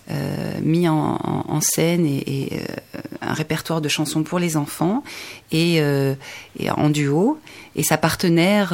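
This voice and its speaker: A woman in her forties whose mother tongue is Indonesian speaks in a low, unhurried voice. She is a singer and a radio guest, and she tells her story with a lot of hesitation, fillers and restarts. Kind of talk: radio interview, recounting.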